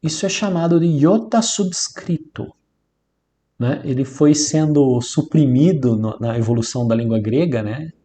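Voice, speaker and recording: A man's voice: mid-range voice, measured pace, mellow. A man with a Brazilian accent giving an informal lesson as a teacher, repeating and stressing key points in a didactic way. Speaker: Biblical Greek teacher. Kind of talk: informal instructional lecture.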